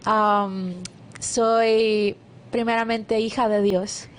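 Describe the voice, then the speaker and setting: A woman's voice: medium pitch, level tone, very slow, slight background noise. Guatemalan woman sharing faith testimony. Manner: warm devout storytelling with many fillers and restarts. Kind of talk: casual testimony interview.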